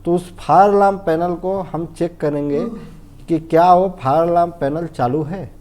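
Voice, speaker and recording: Mellow-voiced Indian man teaching, slightly high-pitched. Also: moderate pace. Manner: didactic, repetitive, often checks understanding and asks himself questions. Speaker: fire safety instructor. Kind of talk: informal technical lesson, explaining step by step.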